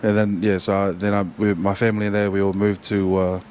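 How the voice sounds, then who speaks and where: A young man with a New Zealand accent, a rugby player chatting as a guest in a casual interview, halting and full of fillers and restarts. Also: deep voice, flat delivery, a little mellow, a little hiss or hum.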